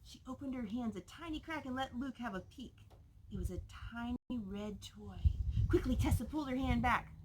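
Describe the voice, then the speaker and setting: A woman's voice: fairly high pitch, normal speed. A woman reading a picture book aloud expressively to a young child in a noisy recording with clicks.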